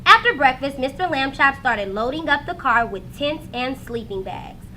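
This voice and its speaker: A woman reads a children's story aloud in a high, bright voice. Her delivery swings widely and expressively, leaning into dramatic moments for young listeners. Faint noise sits behind her. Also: sing-song, moderate pace. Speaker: teacher reading aloud to students. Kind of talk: animated children's storybook narration.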